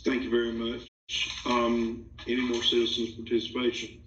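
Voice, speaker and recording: A man's voice: mid-range voice, completely flat delivery, normal speed, a bit bright. A man running a public meeting with formal, hesitant procedural talk. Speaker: meeting chair. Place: indoor meeting room. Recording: roomy, distant, dull sound.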